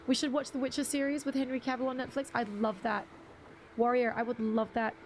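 Chatty gravelly woman streamer, high voice. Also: little pitch movement, brisk, slight background noise. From half English, half Bruneian. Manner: chatty, reads chat aloud, frequent ums. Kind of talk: casual live-stream chat while gaming.

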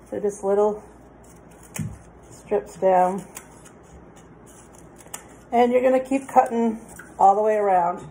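Slow, mellow middle-aged woman giving craft instructions. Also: on the high side, a little hiss or hum, low-quality recording. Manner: plain, pausing while demonstrating. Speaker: craft demonstrator for children. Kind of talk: informal step-by-step craft instruction. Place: indoors, dining table in a home.

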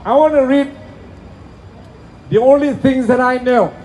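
A man's voice: very high voice, unhurried, darkish tone, poor audio. A man from Indonesia with an Indonesian accent, speaking broken English in a fervent, preacherly rally speech and prayer outdoors in a city plaza. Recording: phone video of an outdoor rally.

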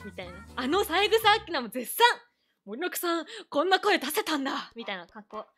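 A woman's voice: high voice, very animated, moderate pace, fairly bright tone. A woman with a cutesy, giggly manner hosting a casual livestream chat. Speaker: streamer, hosting a call-in guest. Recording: background music under the voice.

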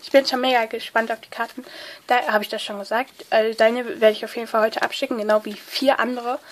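A young woman with a stuffy nose from a cold chats at a table, her voice sitting a bit high. She hesitates often and fills pauses with little sounds, getting excited as she talks. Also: a little hiss or hum. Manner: chatty, hesitant, many fillers, excited. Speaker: vlogger opening a card-swap letter. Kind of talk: casual unboxing chat, reading letters aloud. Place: indoors at a table, hands-only overhead view.

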